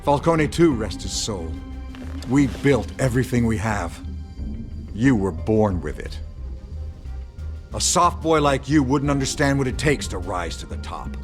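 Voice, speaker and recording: Pompous, theatrical corrupt mayor. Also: male voice, medium pitch, big pitch swings, a little slow, pauses often, faint music behind. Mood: smug, condescending. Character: pompous, menacing, corrupt older male city mayor. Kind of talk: video game dramatic voice acting.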